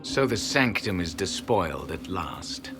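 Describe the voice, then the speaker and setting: Casting: an ancient elf sentinel, very low male voice, solemn contempt, fantasy game scene with music behind. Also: very animated, unhurried. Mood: bitter disdain. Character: ancient, disdainful male elven temple guardian, stern. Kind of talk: dramatic video game cutscene acting.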